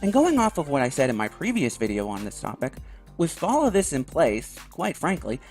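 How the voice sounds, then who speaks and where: A man with a slightly high voice delivers conversational opinion commentary. His pitch moves around in a lively way as he makes his points emphatically, with a wry, sarcastic edge. Music plays faintly behind him. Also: fairly quick. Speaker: video commentator. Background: background music.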